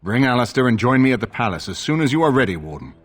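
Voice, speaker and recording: A man's voice: mid-range voice, very animated, quick pace, lightly acted. A man playing a commanding, urgent elder nobleman in a fantasy game, authoritative and formal. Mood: urgent, grave. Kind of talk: dramatic fantasy game acting.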